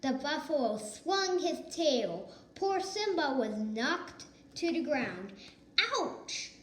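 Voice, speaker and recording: Lady narrating a kids storybook, high pitched voice, echoey room w a little noise. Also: big pitch swings, takes it slowly. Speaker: storybook reader. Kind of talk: children's read-aloud narration.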